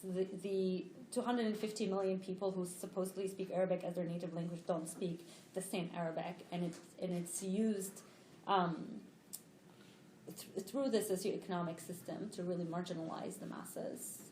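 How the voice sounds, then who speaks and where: A woman from Tunisia gives an earnest, explanatory panel answer, pausing and restarting as she works through her point. Her voice sits a little low. The room is echoey, with a faint hiss of noise behind her. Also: fairly even intonation, darkish tone. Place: lecture room.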